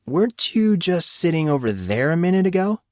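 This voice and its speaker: A man's voice: a little high, very animated, moderate pace, a little mellow, no echo, studio quality, pitched above the performer's own voice. A man voicing a self-doubting, teasing fourteen-year-old boy in an audiobook. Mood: puzzled. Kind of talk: audiobook character dialogue.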